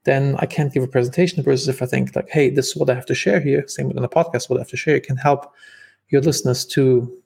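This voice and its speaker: A man's voice: medium pitch, fairly even intonation, quick pace, vocal fry, darkish tone, slightly rough. A man with a German accent, a guest on a podcast, telling stories casually with lots of fillers and restarts. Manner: talkative, many fillers and restarts. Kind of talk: casual interview storytelling.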